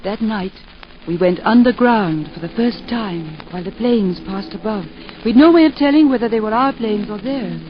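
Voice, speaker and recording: A woman's voice: medium pitch, moderate pace. A woman narrating her family's story and playing the mother in a 1940s radio drama. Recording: dull 1940s radio broadcast with music.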